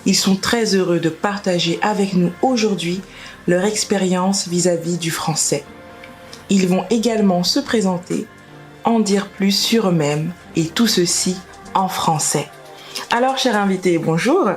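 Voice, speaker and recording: A woman hosts a language-school podcast. She reads prepared questions in a formal, welcoming tone. Music plays clearly underneath her voice. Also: on the low side, measured pace. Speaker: podcast host. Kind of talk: scripted interview questions. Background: background music.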